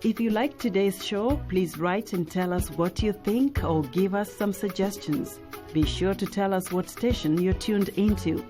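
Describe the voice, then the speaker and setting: A woman announcer reading out the show's contact details in a clear, measured way. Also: medium pitch, music in the background. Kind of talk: scripted announcement.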